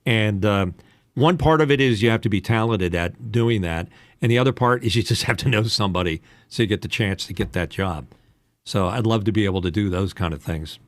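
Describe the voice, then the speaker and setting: Low-voiced man in his late sixties. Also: a little fast, dry recording. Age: late 60s. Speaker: voice-over announcer and podcast host. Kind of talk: casual chat, coaching on voice-over.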